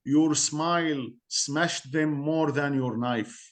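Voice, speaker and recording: A man from Syria with an Arabic accent, a community guest speaking earnestly in halting English and repeating words as he searches for them. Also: fairly high pitch. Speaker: guest, Assyrian community member. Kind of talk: interview on a call-in podcast.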